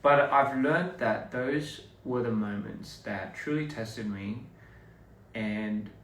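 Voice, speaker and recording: A man's voice: medium pitch, slow, fairly bright tone. A man from Australia, chatting casually on a livestream and rambling with many restarts. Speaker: livestream host. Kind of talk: casual livestream chat with viewers. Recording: echoey room, a bit far from the mic.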